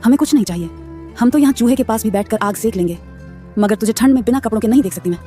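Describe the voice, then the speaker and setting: A poor elderly mother comforts her son in a mellow, fairly high voice, voiced by a woman. She speaks quickly and soothingly. Soft music sits behind her. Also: close to an everyday voice. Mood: tender. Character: poor caring old mother, gentle and steady. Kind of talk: animated story voice acting.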